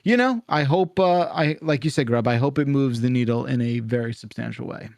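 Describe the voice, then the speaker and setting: A man's voice: mid-range voice, fairly quick, creaky, darkish tone, dry recording. A man hosting a casual call-in podcast, talking in a rambling, emphatic way with some swearing. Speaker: podcast host.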